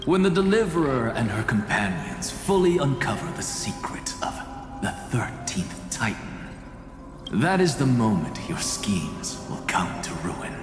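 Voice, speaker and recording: Slow, expressive, proud scholar. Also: male voice, medium pitch. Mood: defiant, taunting. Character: proud, defiant male scholar, sharp-minded and cool. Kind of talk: dramatic video game acting. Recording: long hall reverb over music.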